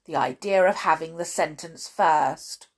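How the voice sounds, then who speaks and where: A woman's voice: low voice, unhurried, slightly rough. Woman acting a bold, outspoken young girl. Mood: defiant. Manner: defiant, plain-spoken.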